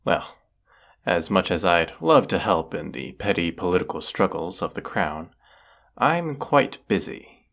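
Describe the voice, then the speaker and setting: Guy acting as haughty brooding wizard of the woods, audio roleplay, slow and gravelly, dry sarcasm. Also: low voice, very animated, fairly bright tone, exaggerated voice. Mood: aloof, mocking. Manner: haughty, sardonic, deliberate. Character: proud, brooding wizard of the woods, male, sardonic.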